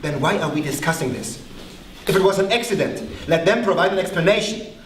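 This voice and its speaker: A man's voice: high-pitched, expressive, fairly quick. Guy acting angry man in a stage play reading, wants the truth, echoey, bit of hiss. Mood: angry, insistent. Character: angry man demanding the truth about a killing. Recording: echoey hall, distant mic, faint noise.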